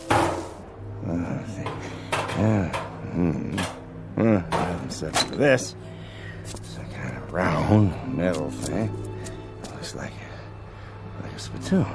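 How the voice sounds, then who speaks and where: Gravelly, muttering tipsy down-and-out man. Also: lowish voice, exaggerated voice. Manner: rambling, muttering, hesitant. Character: tired, tipsy, muttering down-and-out scavenger. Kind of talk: radio drama acting. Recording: radio drama under loud music.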